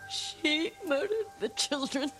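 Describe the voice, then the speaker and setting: Slow-spoken, fierce warrior noblewoman. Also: sits high, animated, over music. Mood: shaken, vengeful. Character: fierce, grieving older warrior noblewoman, vengeful. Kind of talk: dramatic video game acting.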